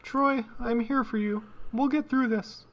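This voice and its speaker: A woman's voice: on the high side, fairly even intonation, unhurried, background noise. An actress playing a devoted, emotional woman pleading for her partner in a video game melodrama. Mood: distraught, pleading. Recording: muffled game audio with music.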